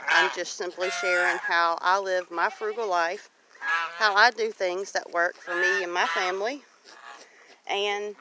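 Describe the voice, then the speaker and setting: A middle-aged woman talking casually in a plain-spoken, folksy way, repeating phrases for emphasis as she walks and talks. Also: medium pitch. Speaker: homestead vlogger. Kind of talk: casual walk-and-talk monologue. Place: outdoors on a grassy homestead field. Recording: noisy outdoor recording with geese honking.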